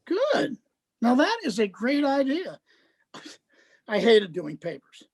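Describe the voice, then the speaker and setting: A woman's voice: on the high side, wildly swooping pitch, slow pace, a little mellow, breathy edge, full character voice. A woman playing an awkwardly friendly, chatty male social worker in a dramatized script reading, hesitant and repeating words. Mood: chatty, eager.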